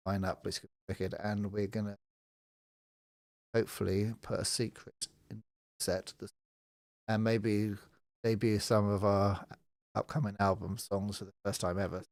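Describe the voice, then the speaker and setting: Male co-host in his twenties to thirties, low voice, easygoing and chatty, dry close mic. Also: no echo. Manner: relaxed, chatty, laughs often. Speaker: podcast co-host, touring musician. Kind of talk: casual live podcast chat.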